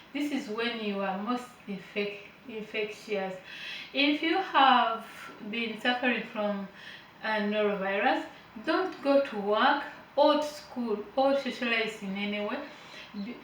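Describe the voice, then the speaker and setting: Woman with a high voice in an echoey room. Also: fairly bright tone, a little hiss or hum. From Uganda. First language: not English; Finnish is her third language. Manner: hesitant with fillers, laughs lightly, chatty asides. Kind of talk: informal health lesson, reading and explaining. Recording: echoey room, voice a bit far from the mic.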